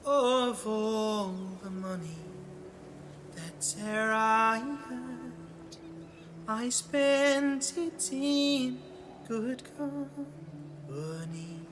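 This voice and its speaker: Woman singing a really slow folk ballad, highish voice, faint music behind. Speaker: singer.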